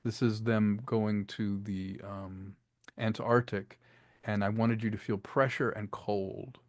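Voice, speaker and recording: A man's voice: on the low side, level tone, slow pace, dry recording, studio quality. A man speaking enthusiastically and conversationally as an audio drama creator in an interview. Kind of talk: conversational behind-the-scenes interview.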